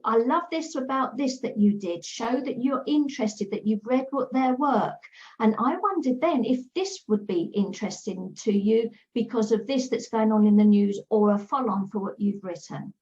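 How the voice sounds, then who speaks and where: A woman's voice: fairly high pitch, moderate pace, darkish tone, muffled recording. A woman talking as a guest expert, giving direct, practical PR advice in a casual chat and often repeating her points.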